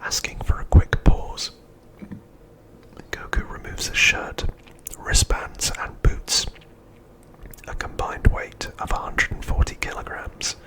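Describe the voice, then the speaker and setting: A man narrating an episode recap as an ASMR host in a hushed, breathy, unvoiced whisper, with deliberate delivery. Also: rough recording.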